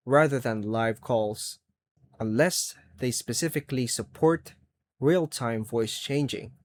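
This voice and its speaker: A man hosting a scripted step-by-step tutorial, giving instructions in short, separated, measured phrases. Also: mid-range voice. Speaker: tutorial host.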